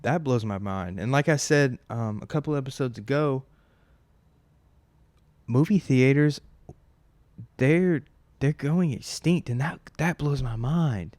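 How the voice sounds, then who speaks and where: A man's voice: mid-range voice, slow, pauses often, a little mellow, dry recording. A man in his twenties hosting a casual solo podcast from home, rambling and profane, full of fillers and restarts. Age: 20s. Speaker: podcast host. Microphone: close mic at home.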